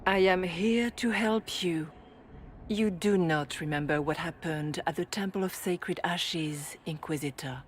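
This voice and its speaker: A woman playing a serene spirit in the guise of a holy leader, speaking in a measured, formal way for a video game. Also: fairly low pitch, a little hiss or hum, close to an everyday voice. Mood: solemn, calm.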